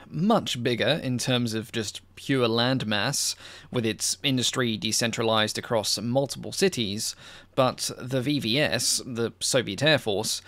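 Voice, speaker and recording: Young man, history host, measured explainer, mid-pitched voice, dry close sound. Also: a bit bright, dry recording. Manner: measured, informative, lightly casual asides. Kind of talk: Q&A explainer on military aviation history.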